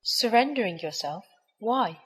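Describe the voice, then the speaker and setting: An actress playing a polite, curious young squire in an audio drama. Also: female voice, medium pitch, lively intonation, measured pace, a bit bright. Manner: polite, inquisitive.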